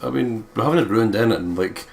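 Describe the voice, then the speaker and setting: A man's voice: on the low side, brisk, vocal fry. A man chatting casually as a co-commentator on a game playthrough. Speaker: let's play co-commentator. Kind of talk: casual chat.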